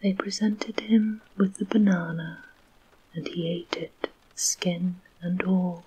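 Softly whispering woman reading a story. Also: fairly low pitch, steady tone, husky, poor audio. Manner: soft, slow whisper. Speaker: narrator.

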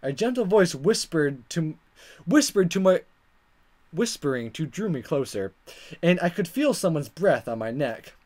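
A woman's voice: low-pitched, animated, measured pace, a little mellow. A woman hosting a let's play, reading dialogue aloud dramatically and commenting in a playful, exaggerated way. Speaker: gaming video host.

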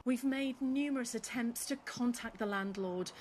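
Higher-voiced woman reporter, noisy recording. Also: normal speed, darkish tone. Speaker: news reporter. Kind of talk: scripted news report narration.

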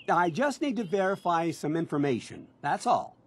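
Casting: ingratiating video game salesman, high voice, swooping lively delivery, effusive and pushy. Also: slow, heavily acted. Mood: cheerfully reassuring. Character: eager, fast-talking male door-to-door salesman, pushy and cheerful. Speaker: door-to-door vault salesman.

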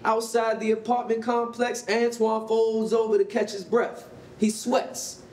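A man's voice: high voice, flat delivery, a little slow, a little mellow. An American man reading scripted stage directions as narrator at a staged reading. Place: concrete-walled rehearsal hall. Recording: roomy, distant mic, faint noise.

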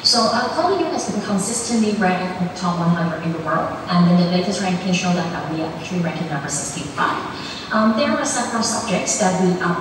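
Woman with a Hong Kong accent, native Cantonese speaker, lowish voice; hesitant presenter with frequent fillers, caught from across a reverberant classroom. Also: animated, fairly quick, background noise. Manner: hesitant, many ums and restarts. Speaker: university admissions guest speaker. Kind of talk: informal presentation to parents. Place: classroom with large screen. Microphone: far camera. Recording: echoey room from far off.

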